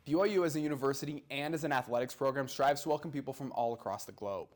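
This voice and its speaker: A man anchoring a student news show with a brisk, even read. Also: mid-range voice.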